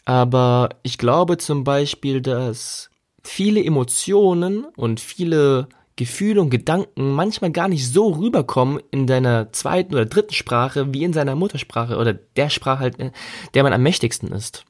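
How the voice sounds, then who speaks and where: A man's voice: medium pitch, animated, moderate pace. A man with a mid-range voice talks casually and reflectively as a solo podcast host. He is conversational, leaning on key words and repeating them for emphasis. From Germany.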